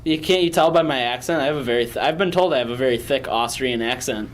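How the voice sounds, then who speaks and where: A man's voice: mid-range voice, quick pace. A young man co-hosting a podcast, chatting casually and joking around. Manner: joking, rambling, breaks into off-key singing. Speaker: podcast co-host. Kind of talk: casual chat. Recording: faint background noise.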